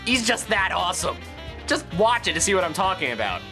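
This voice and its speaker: A man's voice: sits high, very animated, fairly quick, music in the background. A man delivering scripted review commentary with opinionated, sarcastic asides. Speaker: video reviewer.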